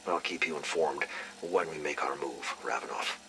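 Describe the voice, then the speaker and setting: Very deep, stern intelligence agent. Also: male voice, moderate pace, creaky, pushed and bright, slight background noise, full character voice, rough recording. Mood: cool. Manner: terse, businesslike. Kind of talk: cinematic video game voice acting.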